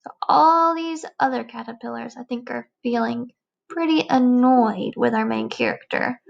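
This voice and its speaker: A woman's voice: on the high side, moderate pace, creaky, lo-fi sound. A woman teacher giving a read-aloud lesson for young children, explaining things emphatically and checking understanding. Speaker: elementary teacher reading aloud.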